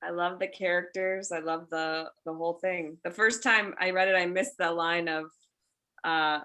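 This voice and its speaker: A woman's voice: fairly low pitch, steady tone, normal speed, sharp tone. A woman talking casually in a group, sharing her reactions informally. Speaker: voice actor giving feedback. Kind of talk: casual chat.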